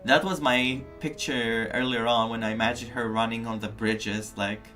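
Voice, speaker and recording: A man's voice: mid-range voice, steady tone, normal speed, sharp tone, faint music behind. A man streaming a game live, casually musing and reacting aloud.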